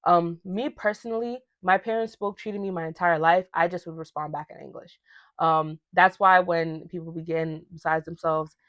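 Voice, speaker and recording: Lively young woman podcaster, dry close mic. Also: fairly low pitch, normal speed, dry recording, highs cut off. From Ghana. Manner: animated and conversational, slangy asides. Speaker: podcast host. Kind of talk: casual solo podcast rant.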